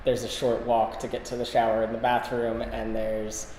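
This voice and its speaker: A man co-hosting a casual podcast chat, talking in a halting way and often interrupting himself. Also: medium pitch, monotone, a little mellow. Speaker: podcast co-host. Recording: roomy, long echo, background hiss.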